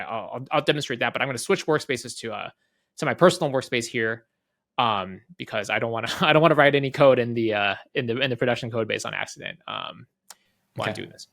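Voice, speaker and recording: Fast-talking man giving a casual demo. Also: medium pitch, fairly bright tone, no room sound, clean studio sound. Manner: conversational, often checks in with tag questions and acts out short exchanges. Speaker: guest product leader giving a software demo. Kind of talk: casual off-the-cuff product walkthrough.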